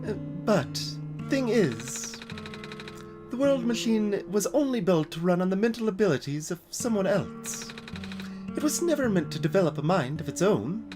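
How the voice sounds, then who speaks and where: A man voicing a small, gentle robot fox from a video game, wistful and knowing, in soft, measured explanations. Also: high-pitched, sing-song. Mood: grave, explaining. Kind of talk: video game character dialogue read aloud. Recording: music behind the voice.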